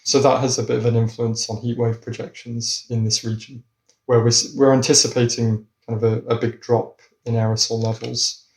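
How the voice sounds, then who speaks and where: A man of middle pitch answering interview questions as a climate scientist. He speaks in a measured, explanatory way, and his voice has a noticeable roomy echo. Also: little pitch movement, darkish tone.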